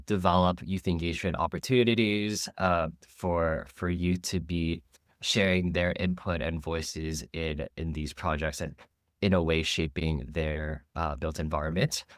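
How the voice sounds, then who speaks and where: A man who runs a nonprofit, speaking on a webinar panel with a nasal voice from a cold. He explains his organization in a steady, informative way with frequent fillers. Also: low voice, dry recording, clean studio sound. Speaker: nonprofit co-executive director. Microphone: video call.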